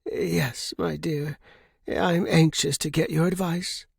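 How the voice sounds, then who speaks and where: A man acts out an old wealthy widow for a suspense story. The voice is pitched high, formal and volatile, swinging with raw emotion. It is recorded very dry. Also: fairly even intonation, slow, vocal fry, slightly rough, no echo, heavily acted. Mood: apologetic, relieved. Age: older.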